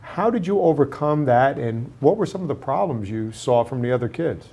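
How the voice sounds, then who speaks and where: Male interview host; steady, prompting questioner. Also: medium pitch, moderate pace, husky. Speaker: host, managing partner of a law firm. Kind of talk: interview hosting.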